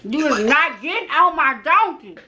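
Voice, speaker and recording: A woman's voice: high-pitched, big pitch swings, moderate pace, crowd noise. A woman from Texas hosting a prank video, trash-talking strangers playfully over a phone video chat. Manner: teasing, playful trash talk. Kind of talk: casual prank chat on a video call app.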